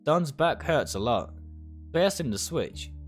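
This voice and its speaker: A man reads the part of a kind, quiet young shop girl from a visual novel. The delivery is gentle and hesitant, with lively pitch over faint music. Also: normal speed. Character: kind, quiet young female store worker. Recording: music behind the voice.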